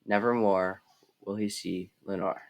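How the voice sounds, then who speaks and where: A man's voice: low voice, fairly even intonation, measured pace, gravelly. A man narrator reading a scripted story aloud.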